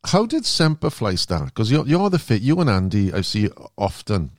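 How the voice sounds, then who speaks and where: Low-voiced podcast host. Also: male voice, wildly swooping pitch, moderate pace, no echo, clean studio sound. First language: Welsh. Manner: easygoing, conversational questions. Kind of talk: casual interview chat.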